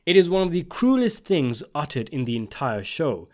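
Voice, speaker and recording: A man's voice: on the high side, sing-song, moderate pace, a little mellow. Man narrating with wide, swinging intonation. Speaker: video essayist and channel host. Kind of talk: scripted video essay commentary on a TV series.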